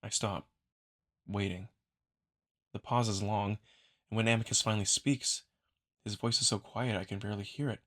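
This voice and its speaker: A man's voice: on the low side, steady tone, moderate pace, pauses often, a bit bright. A man reading dramatic scripted first-person narration as the narrator of a visual novel.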